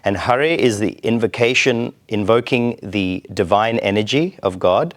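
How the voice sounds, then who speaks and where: A man from Australia speaking as an interview guest, explaining things in a measured, deliberate way. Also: on the low side. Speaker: temple president, interview guest. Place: TV studio.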